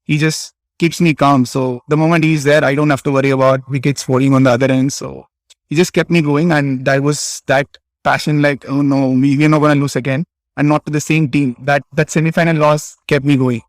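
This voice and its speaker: A man's voice: fairly high pitch, level tone, brisk, no room sound, clean studio sound. A young man from southern India with a Tamil-region Indian English accent, answering interview questions hesitantly, with many fillers and restarts. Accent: Indian English, Tamil Nadu. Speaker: interview guest, cricket player.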